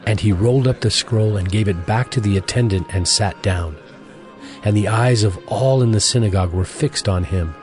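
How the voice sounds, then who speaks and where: A man narrating scripture from a script in a measured, formal manner. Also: fairly low pitch, darkish tone, soft background music.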